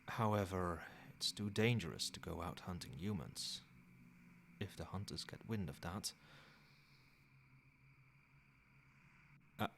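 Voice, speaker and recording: A man playing a centuries-old vampire sire in an ASMR roleplay, a soothing, possessive caretaker who speaks softly in measured, formal phrases. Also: low voice, slight background noise. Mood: brooding, restrained.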